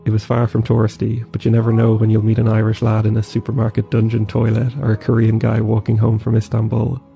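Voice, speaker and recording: Guy doing a wry travel voice-over, fast and monotone, dull lo-fi sound. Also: fairly low pitch, creaky. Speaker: travel vlogger. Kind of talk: scripted travel narration. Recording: dull lo-fi voice-over with faint music.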